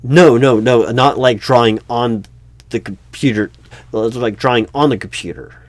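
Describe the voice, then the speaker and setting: A man playing a patient but flustered, unobservant recruiting manager in a one-sided ASMR interview roleplay, speaking aloud in a halting, puzzled way. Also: mid-range voice, no room sound, lightly acted. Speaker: job interviewer. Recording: faint noise, computer keyboard typing clicks. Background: keyboard typing and clicks.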